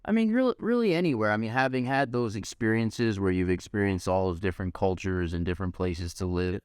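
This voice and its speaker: A man from the United States interviewing a guest in a relaxed way, nudging him along with short replies and open questions. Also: on the low side, brisk, clean tone, no room sound, studio quality. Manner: encouraging, short backchannels. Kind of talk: casual interview.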